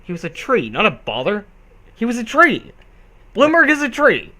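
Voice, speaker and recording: A man's voice: extremely high pitch, huge pitch swings, moderate pace, pushed and bright. A man giving joking, crude side commentary on a story, repeating phrases for comic effect. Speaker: co-reader.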